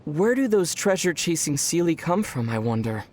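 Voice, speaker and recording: A man playing a calm, thoughtful young adventurer in a video game, speaking in a reflective, gently teasing manner. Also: fairly high pitch, moderate pace, slight background noise. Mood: pensive. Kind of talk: video game character voice acting.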